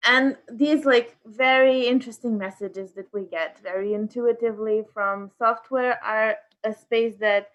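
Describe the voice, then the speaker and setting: A woman hosting a workshop, presenting informally in a hesitant way as she searches for words. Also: mid-range voice. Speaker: workshop host and artist.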